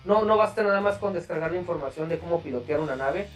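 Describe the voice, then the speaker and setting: A man's voice: a little high, fast, far from the mic, soft background music. A man with a Mexican accent hosting a podcast in a casual, slangy, profane way. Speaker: podcast host.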